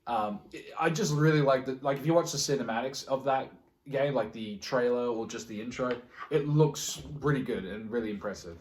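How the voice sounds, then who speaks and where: A man's voice: medium pitch, normal speed, slight background noise. A man chatting casually as a podcast co-host, informal and sweary in his talk, with a roomy echo around his voice. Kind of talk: casual group podcast chat. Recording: roomy, echoing room sound.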